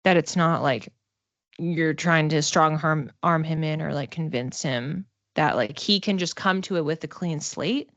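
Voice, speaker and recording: A woman giving a casual one-to-one card reading as a psychic, talking conversationally and haltingly, circling back over phrases as she thinks aloud. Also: low-pitched, a bit bright, no echo, lo-fi sound. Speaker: psychic reader.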